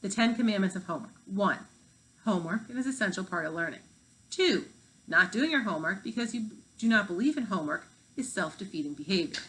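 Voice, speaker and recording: An orderly woman schoolteacher acted by a reader explains things in a measured, instructive way. She is a storybook fifth-grade teacher. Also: medium pitch, lively intonation, fairly bright tone, lightly acted, poor audio.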